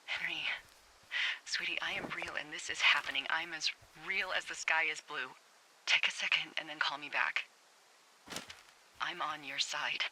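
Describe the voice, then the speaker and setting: A very bright, sharp-edged female fire lookout in a video game, wry and caring. She talks frankly and conversationally over a two-way radio, with faint noise. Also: low-pitched, steady tone, normal speed, pauses often, poor audio. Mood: reassuring, urgent. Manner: conversational, dry, hesitant when admitting things. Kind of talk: naturalistic video game dialogue.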